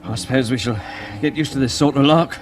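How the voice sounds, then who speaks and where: An actor playing a plain-spoken, deferential space crew chief in 1950s radio drama style. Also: male voice, medium pitch, fairly quick, natural voice. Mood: uneasy, awed. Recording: vintage radio broadcast with music behind.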